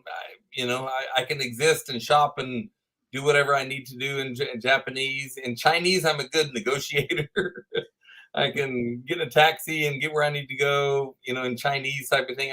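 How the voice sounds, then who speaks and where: A Texan man in his fifties, a podcast guest telling animated stories and laughing as he goes. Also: mid-range voice, low-quality recording. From Texas. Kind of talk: storytelling interview chat.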